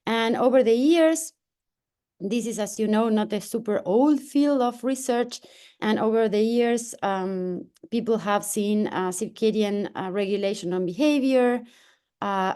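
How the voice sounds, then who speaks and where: Woman giving a halting lecture. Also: medium pitch, mellow. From Argentina. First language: Spanish. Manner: halting, many fillers and restarts. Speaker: invited researcher giving a lecture. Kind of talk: academic online talk. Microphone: video call.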